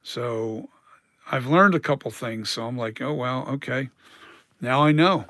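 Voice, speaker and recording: A man with a dry, hoarse voice, talking casually on a live stream about mask making, rambling and correcting himself as he explains. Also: mid-range voice, a little slow, bright, forceful tone, no echo.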